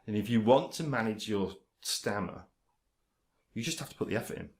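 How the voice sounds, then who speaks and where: Male, low-pitched; halting delivery with stammer control, frequent fillers and restarts. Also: lively intonation. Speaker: vlogger talking about his stammer. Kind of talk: casual direct-to-camera talk.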